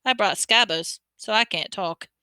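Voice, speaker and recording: A woman's voice: medium pitch, unhurried, bright, forceful tone, clear, resonant tone, no room sound. A woman voicing a chatty, good-humoured young schoolboy in an offhand way.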